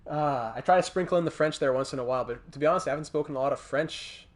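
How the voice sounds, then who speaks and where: A man with a Montreal accent chatting casually on a gaming livestream, thinking aloud in a halting way as he plays and replies to viewers. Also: fairly high pitch. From Montreal, Canada. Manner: thinking aloud, halting, breaking off to reply to chat. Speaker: livestreamer hosting a hockey video game stream. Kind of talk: casual livestream chat with game commentary.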